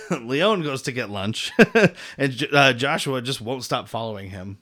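A man co-hosting a relaxed film podcast, recapping scenes in loose, profane chat. He breaks into laughter often and slips into mock voices for the characters. His voice sits at an ordinary middle pitch. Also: unhurried. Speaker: podcast co-host. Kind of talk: casual movie recap chat.

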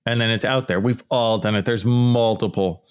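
Man, loud shouty delivery, exasperated vlog rant, mid-range voice. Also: medium pitch, normal speed, clean tone. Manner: loud, animated, exasperated ranting with laughs. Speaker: archaeology YouTuber. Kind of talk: casual vlog commentary.

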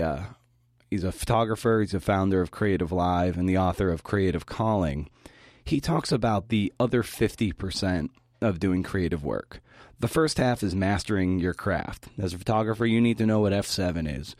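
Deep voiced guy doing a casual talk to writers, really dry sound, bit sweary. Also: a little mellow, no room sound. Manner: informal, mildly profane. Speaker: podcast host giving a talk. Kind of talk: prepared talk to fellow writers.

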